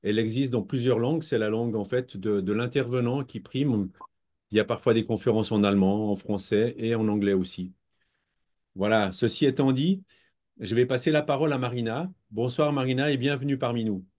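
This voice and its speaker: Mellow-voiced man hosting a talk. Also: mid-range voice, fairly quick. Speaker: host of a conference series. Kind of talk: introductory remarks.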